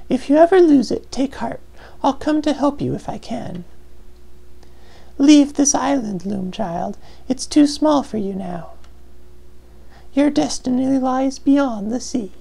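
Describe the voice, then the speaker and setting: An actress playing an elderly, motherly weaver guardian in a video game, speaking in a grave, portentous, deliberate way. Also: sits high, lively intonation, vocal fry, warm tone, breathy edge, full character voice. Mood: reassuring, instructing. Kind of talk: dramatic video game voice acting.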